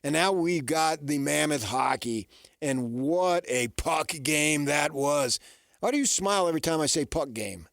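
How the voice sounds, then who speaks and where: A man's voice: a little high, expressive, unhurried, creaky, a bit bright, dry recording, clean studio sound. A man hosting a sports radio show, talking casually in a folksy, conversational way and often tossing out rhetorical questions.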